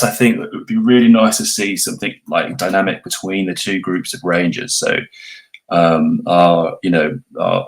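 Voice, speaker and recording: A man's voice: low voice, a bit bright, poor audio. A man speaking as a guest in a casual online discussion, thinking his points through as he goes. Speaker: cast member, guest. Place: remote online voice call.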